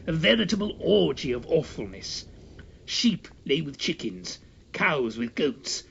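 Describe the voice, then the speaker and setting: A man's voice: mid-range voice, fairly bright tone. A man gives a theatrical, mock-grave narration of a comic horror story. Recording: slightly dull, faint background noise.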